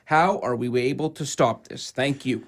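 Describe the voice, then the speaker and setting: Radio co-host, male, average pitch, reads listener mail on air. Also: normal speed. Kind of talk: reading aloud on a radio show.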